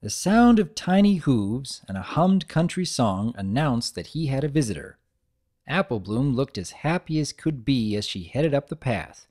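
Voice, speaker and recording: A male narrator gives a dramatic story reading. His voice is medium in pitch and moves about animatedly through the scripted prose. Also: a little mellow.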